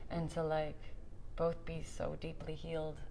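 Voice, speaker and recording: A woman's voice: sits low, a little slow, vocal fry, a little hiss or hum. A woman, a voice and kirtan teacher, chatting earnestly as a guest about voice and resonance, laughing often. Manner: earnest and expressive, laughs often, spiritual vocabulary. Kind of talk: casual interview chat.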